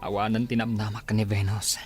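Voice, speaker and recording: A man's voice: lowish voice, flat delivery, fairly quick, husky, faint music behind. A man acting a lovelorn rejected suitor in a Filipino radio drama, earnest and dramatic, with a Filipino accent. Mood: heartbroken, pining. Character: lovelorn rejected suitor still pining for a married woman.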